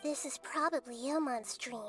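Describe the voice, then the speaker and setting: A woman voicing a small, wise child goddess in a video game, calm, measured and explanatory. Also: sits high, faint music behind. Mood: calm, reassuring. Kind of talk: video game character acting.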